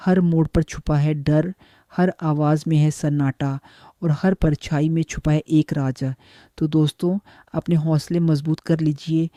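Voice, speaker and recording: Very mellow, even male narrator. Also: a little high, no echo, studio quality. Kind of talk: scripted moody horror introduction narration.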